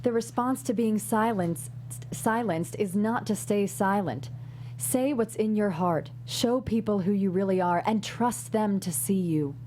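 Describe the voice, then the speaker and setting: A wise older woman mentor voiced by a woman actor hands out tough love in a drama read. She speaks in measured, emphatic phrases at a middle pitch, with faint noise behind. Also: mellow, lightly acted. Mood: encouraging, resolute. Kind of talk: script table read.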